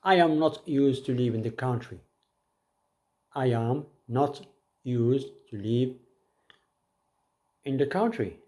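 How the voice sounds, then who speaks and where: A man teaching an English grammar lesson, reading each example sentence and then repeating it in a slow, deliberate way. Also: mid-range voice, animated, a little mellow.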